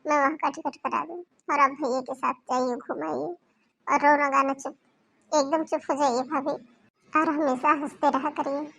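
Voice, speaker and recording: Caring young sister-in-law, voiced by a woman, soft, gentle, reassuring. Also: high-pitched, fairly even intonation, normal speed, creaky, poor audio. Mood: soothing.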